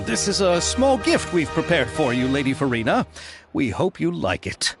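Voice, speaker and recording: A man's voice: fairly high pitch, sing-song, a little slow. A man playing an eager, deferential film producer in a video game, speaking politely and earnestly with formal phrasing. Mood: courteous. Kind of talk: English video game voice acting. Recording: faint music behind the voice.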